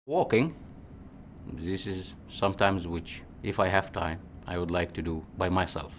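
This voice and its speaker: A man in his forties from Egypt with an Egyptian accent, a physician answering interview questions in an unhurried, halting way with restarts. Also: low voice, slight background noise.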